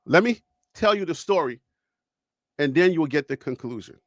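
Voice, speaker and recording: A man with a Liberian accent hosts a live sports show from a small home studio, speaking a bit higher than most men. He talks to his viewers as a storyteller, loose and chatty. The sound is dry and close, with no room echo. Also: lively intonation, moderate pace. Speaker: host. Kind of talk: live-stream sports talk.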